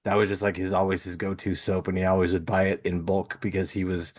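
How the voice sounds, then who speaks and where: A man's voice: sits low, little pitch movement, quick pace, no room sound, studio quality. A man hosting a podcast, talking in a chatty, informal interview style. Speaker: podcast host. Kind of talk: casual conversational interview.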